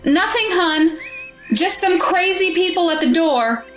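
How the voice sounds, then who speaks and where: A woman's voice: very high voice, animated, measured pace, a bit bright, soft background music, exaggerated voice. An actress playing a protective, fretting mother of a schoolboy in an audio drama, with a nagging, anxious manner. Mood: suspicious.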